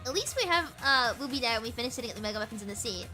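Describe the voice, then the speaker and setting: A woman streamer with a high, bouncy voice reads story dialogue aloud, rough and hoarse from a cold. She gets excited, stumbles over words, and gushes as she goes. Game music plays clearly underneath her. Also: rapid-fire.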